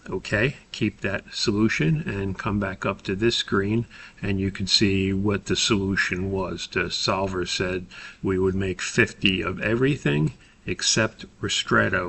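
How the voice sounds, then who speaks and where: A man giving a methodical, step-by-step software tutorial as an instructor, explaining in a somewhat hesitant way. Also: low-pitched, vocal fry, slight background noise.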